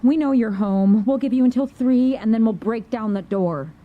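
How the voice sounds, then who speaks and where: A woman's voice: on the high side, normal speed, close to an everyday voice. A woman playing a pushy, cryptic government agent in an audio drama, commanding, clipped and probing. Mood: commanding, threatening. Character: pushy, cryptic female government agent interrogating through a door. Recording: muffled, dull sound.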